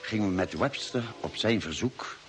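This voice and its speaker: A man's voice: lowish voice, animated, moderate pace, a bit bright, faint music behind. A man acting an earnest, inquiring ship's doctor in a vintage Dutch radio play, on a noisy old recording. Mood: sober narrating.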